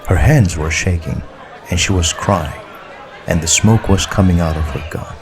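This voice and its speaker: A man narrating a story as a scripted audiobook, in a measured, deliberate way. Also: low voice, a crowd in the background.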